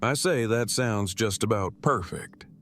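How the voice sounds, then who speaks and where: Low-voiced deadpan wordy oddball man, acted. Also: a little slow, a little hiss or hum. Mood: formal, matter-of-fact. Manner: pompous wordy formal phrasing, deadpan. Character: verbose, formal, deadpan oddball expectant father. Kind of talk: audio drama dialogue.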